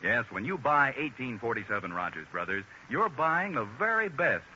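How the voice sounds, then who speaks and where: An American man announces in vintage 1940s radio style. His delivery is lively and varied in pitch, built for selling. The recording is dull with clear background noise. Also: normal speed.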